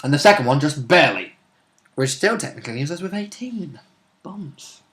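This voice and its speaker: Casual male gamer, higher-pitched and very lively, loose sweary delivery. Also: moderate pace. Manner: informal, sweary. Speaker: tabletop role-playing player. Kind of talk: casual chat recapping a game session.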